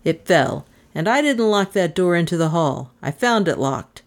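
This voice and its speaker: A woman reader voicing a sullen, cornered male doctor who answers defensively, in a fairly low voice. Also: animated, normal speed.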